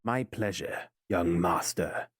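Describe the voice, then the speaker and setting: An actor playing a courteous, devoted butler in an audio drama, with a formal, deferential and theatrically proper manner. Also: male voice, low-pitched, slow pace, a bit bright, no echo, clean studio sound, full character voice. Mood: courteous, attentive.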